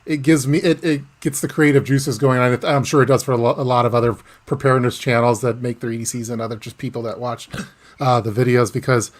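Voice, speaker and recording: A mid-pitched man hosts a casual live chat with a guest. He talks haltingly, restarting his phrases and slipping in small laughs. The mic sits close to him. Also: level tone. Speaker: host.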